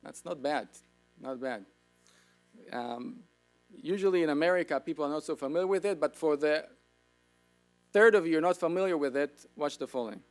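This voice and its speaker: A man's voice: fairly high pitch, moderate pace, long pauses, faint music behind. A man with an Israeli accent, lecturing an audience with enthusiasm and asking them questions. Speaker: speaker.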